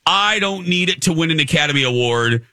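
A man with a bright, forward voice chats casually as a radio host. His delivery swings widely in pitch with campy emphasis, picked up close in a dry studio. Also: expressive, brisk, no room sound. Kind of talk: casual entertainment chat. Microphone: close studio microphone.

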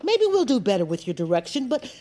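A woman's voice: on the high side, sing-song, quick pace, mellow. A woman voicing a vain, dreamy, genteel performer in an audio cartoon, airy and self-pleased. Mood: airily pleased. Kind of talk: audio fiction cartoon acting.

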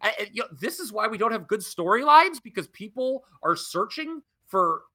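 A young adult man co-hosting a podcast, ranting with animated disbelief in casual chat. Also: high voice, moderate pace. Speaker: podcast co-host. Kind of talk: casual wrestling review chat.

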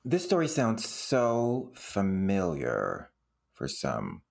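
A man's voice: low-pitched, wildly swooping pitch, extremely slow, vocal fry, a little mellow. A man co-hosting a playful interview chat, effusive and fond of emphatic repetition. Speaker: podcast co-host.

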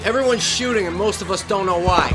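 An actor playing a tense police officer during a shootout in a radio drama. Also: male voice, high-pitched, fast, music in the background, lightly acted. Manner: tense, alarmed. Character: alarmed male police officer.

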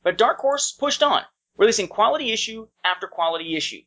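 A man's voice: high-pitched, animated, brisk, fairly bright tone. A man co-hosting a podcast, talking casually and reading out listener feedback. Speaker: podcast co-host. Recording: dull lo-fi podcast audio.

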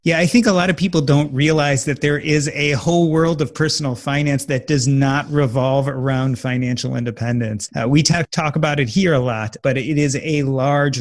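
A man co-hosting a podcast, interviewing guests with direct follow-up questions. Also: on the high side. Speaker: podcast co-host.

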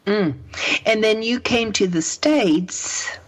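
A woman's voice: mid-range voice, slow pace. A woman hosting a conversational interview in an encouraging, agreeable manner. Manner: encouraging, often murmurs agreement. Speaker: radio show host. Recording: dull lo-fi radio audio.

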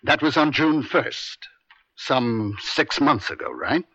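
A man acting a stern, pressing defense attorney in a radio courtroom drama, deliberate, pointed and domineering. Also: medium pitch, very animated, a bit bright, breathy edge, no room sound, full character voice. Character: stern, pressing male defense attorney cross-examining a witness. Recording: dry, dull vintage radio broadcast.

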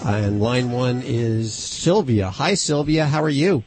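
A man's voice: medium pitch, a little slow, a little mellow, faint music behind. A man hosting a radio show about pets, chatting in a dry, joking way. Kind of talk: casual radio banter.

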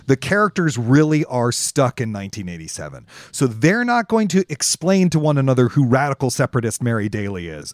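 A man's voice: medium pitch, no room sound, clean studio sound. A man co-hosting a podcast, talking conversationally about craft in a thoughtful, emphatic way. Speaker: podcast co-host. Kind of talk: conversational discussion of writing craft.

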